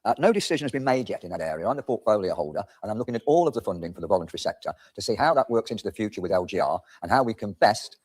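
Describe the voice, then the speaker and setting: Low-voiced man councillor answering briskly. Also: animated, a little mellow, husky. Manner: measured, reassuring. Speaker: councillor and portfolio holder. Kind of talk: formal reply in a council meeting.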